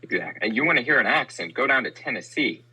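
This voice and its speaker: A man's voice: medium pitch, animated, quick pace, bright, forceful tone, slightly rough, poor audio. An American man from the Midwest chatting casually as a guest on a podcast. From St. Louis, Midwestern United States. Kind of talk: casual chat.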